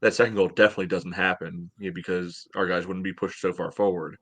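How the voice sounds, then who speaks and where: A man's voice: sits low, little pitch movement, fast, a bit bright. A middle-aged American man co-hosting a podcast, casually analyzing sports from home over a video call. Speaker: podcast co-host.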